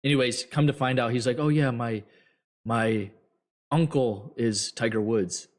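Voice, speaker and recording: A man hosting a trading show, giving blunt, rambling advice to viewers with many fillers, his voice getting hoarse. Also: mid-range voice, unhurried. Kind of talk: casual advice talk to viewers.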